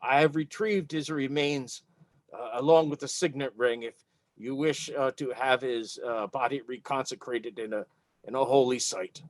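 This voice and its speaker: A man playing a proud, gallant dwarf adventurer who speaks in a formal, deliberate, courtly way at a tabletop game. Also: mid-range voice, no room sound, close to an everyday voice. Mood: earnest, formal.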